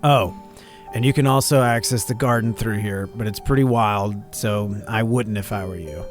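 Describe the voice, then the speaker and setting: A man's voice: fairly low pitch, moderate pace, faint music behind, close to an everyday voice. A man voicing a curt, street-smart woman in a horror visual novel, dry and dismissive. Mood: curt, defensive. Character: curt, street-smart woman hosting a visitor in her decaying house.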